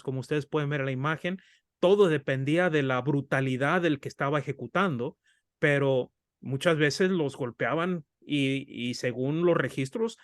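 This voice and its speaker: A man's voice: fairly high pitch, no echo, clean studio sound. A middle-aged man from Mexico with a Mexican Spanish accent, giving an earnest, conversational Bible study sermon. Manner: earnest, conversational, addresses listeners as brothers. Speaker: preacher.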